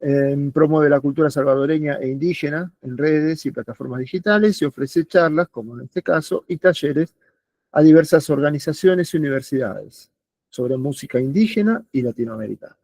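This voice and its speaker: Gravelly man hosting an online talk. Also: on the high side, moderate pace, a little mellow, no echo. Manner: hesitant, many fillers and restarts. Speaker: host of online talk series. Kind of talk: introducing a guest.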